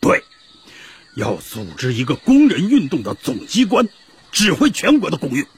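A man's voice: a little high, huge pitch swings, moderate pace, slightly rough, full character voice. An actor playing a resolute male intellectual delegate, speaking emphatically in a historical radio drama.

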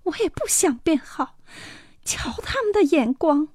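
Very high-voiced, bitter young girl. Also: very animated, darkish tone, dry recording, clean studio sound. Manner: plaintive, drawn-out, self-pitying. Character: proud, bitter young girl suffering for her sins. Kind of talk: dramatized fairy tale acting.

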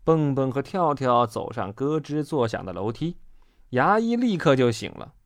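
Highly animated children's storyteller. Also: normal speed, no room sound, studio quality. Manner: dramatic, expressive. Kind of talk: expressive children's storybook narration.

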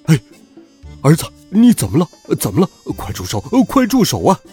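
Storybook father, voiced by a man: protective, excitable, urgent, rough-edged voice with very animated pitch. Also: a little fast, soft background music, full character voice. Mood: alarmed. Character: boy hero's father, small hidden helper, anxious, protective.

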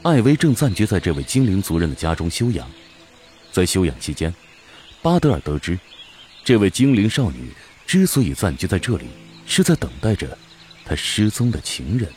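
A deep-voiced storyteller, solemn but with expressive pitch movement. He narrates a fantasy radio drama over faint music. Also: normal speed. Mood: storytelling.

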